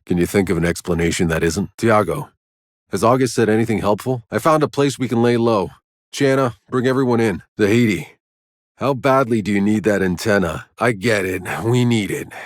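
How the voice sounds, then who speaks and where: An actor playing a gruff, world-weary soldier and scavenger in a video game, terse and dry, with a low husky voice. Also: male voice, no echo. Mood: urgent, commanding.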